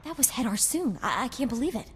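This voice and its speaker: Eager sidekick, hall reverb. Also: female voice, fairly high pitch, fast talker, slightly rough, exaggerated voice. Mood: excited. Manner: excitable, informal. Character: eager, nervous young female sidekick, admiring and chatty. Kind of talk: video game voice acting.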